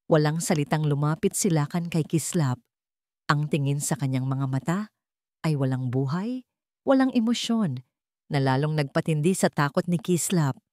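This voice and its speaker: A woman's voice: sits low, expressive, normal speed, a little mellow, no room sound, clean studio sound. A woman reading a dramatic story as a scripted narration.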